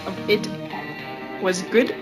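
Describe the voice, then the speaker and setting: A woman's voice: slow pace, over music. A woman podcast co-host reading a story aloud as an amateur, stumbling and repeating phrases as she goes. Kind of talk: amateur read-aloud of fan fiction.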